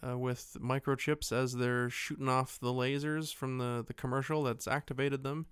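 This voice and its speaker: Clear-voiced man chatting about film. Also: medium pitch, moderate pace, dry recording, clean studio sound. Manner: profane, joking, animated retelling. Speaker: podcast co-host. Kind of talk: casual film recap chat.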